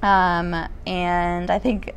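A woman's voice: fairly low pitch, flat delivery, drawn-out words, a little hiss or hum. A woman in her thirties from Canada, guest on an interview show, talking casually with lots of fillers and easy laughs. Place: ice rink.

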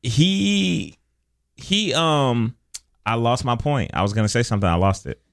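A man's voice: mid-range voice, expressive, unhurried, creaky. A man from Illinois co-hosting a podcast, chatting casually in loose slang with frequent restarts. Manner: laid-back slang, frequent restarts and laughter. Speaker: podcast co-host. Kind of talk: casual chat.

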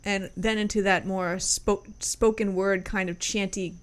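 A woman hosting a casual music review chat, relaxed and loose, swearing offhand between fillers. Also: mid-range voice, measured pace. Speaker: music review podcast host.

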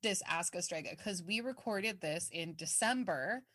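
A woman's voice: on the low side, lively intonation, normal speed, fairly bright tone, no room sound. Chatty woman podcaster, dry close mic. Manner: chatty, filler-heavy, laughs easily. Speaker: podcast co-host. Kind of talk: casual podcast chat, reading listener mail.

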